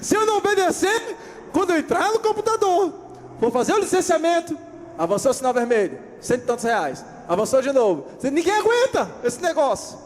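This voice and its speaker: A man from Rio de Janeiro with a Carioca accent, preaching a lively sermon to his congregation, acting out everyday scenes and asking for amens. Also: very high-pitched, a little fast, in a large hall. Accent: Carioca Brazilian Portuguese. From Rio de Janeiro, Brazil. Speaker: pastor. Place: church hall.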